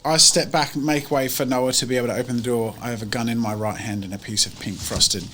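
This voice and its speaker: A man's voice: mid-range voice, fairly even intonation, quick pace, background noise. A man chatting casually and jokingly as a player in a tabletop roleplay game, saying what his character does.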